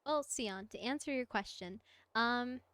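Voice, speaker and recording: A woman chatting casually on a livestream as she plays, answering viewers in an expressive, encouraging, effusive way with a mezzo voice. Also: on the high side, a little slow, clean tone, dry recording. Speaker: VTuber live streamer. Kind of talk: casual livestream chat while gaming, answering viewer comments.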